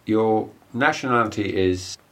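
A man's voice: lowish voice, measured pace, a bit bright. A man interviewing a guest in a casual conversation, often hesitant and searching for words. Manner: hesitant, with fillers and restarts.

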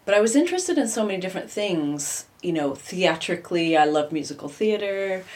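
Low-voiced woman, chatty and laughing. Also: animated, a little fast, darkish tone. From United States. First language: Hungarian. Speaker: interview guest, opera singer. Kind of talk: casual interview conversation.

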